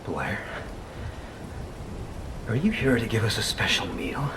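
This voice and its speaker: A man playing a wounded but steadfast soldier in a video game, talking slowly. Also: sing-song, gravelly, exaggerated voice. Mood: weary. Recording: rumbling noise behind.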